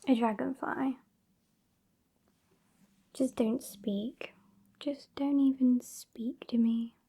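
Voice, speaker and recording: A woman's voice: high voice, fairly even intonation, extremely slow, heavily acted. A woman playing a haughty, sassy female vampire with a British accent in a whispered ASMR roleplay, condescending and exasperated. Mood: exasperated scorn. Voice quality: whispery, breathy. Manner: condescending, exasperated, softly scolding. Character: sassy, haughty old female vampire scolding her fledgling.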